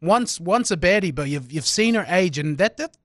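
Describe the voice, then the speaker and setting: A man's voice: high-pitched, animated, moderate pace, no echo. A man with a New Zealand accent co-hosting a podcast in casual studio banter. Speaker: podcast co-host.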